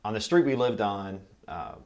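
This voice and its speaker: An American man giving a recorded oral history interview, recalling his life in a measured, reflective way. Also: on the low side, expressive. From Kentucky, United States. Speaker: interviewee, military veteran.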